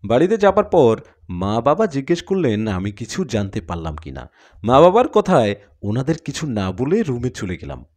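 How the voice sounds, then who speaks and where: A man tells a horror tale in the first person. His medium-pitched voice rises and falls widely, with dramatic storytelling delivery. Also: huge pitch swings, normal speed, a little mellow.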